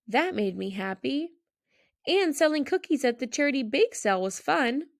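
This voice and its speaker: Woman acting earnest, curious little schoolgirl, higher-pitched. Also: moderate pace, vocal fry, full character voice. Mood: bright, remembering. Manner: earnest, expressive storybook delivery.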